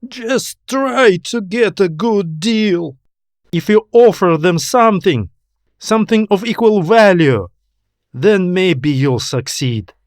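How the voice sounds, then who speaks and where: A man voicing a distraught, pleading old man in an audiobook story, with a halting, emotional delivery. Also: high-pitched, expressive, no echo, studio quality, pitched above the performer's own voice, heavily acted. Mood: urgent pleading. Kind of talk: audiobook character acting.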